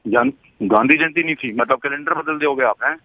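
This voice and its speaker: A man's voice: medium pitch, fairly quick, dry recording. A man on the other end of a phone call, irritated and blunt, swearing casually. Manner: irritated, blunt, rough slang. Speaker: prank call target.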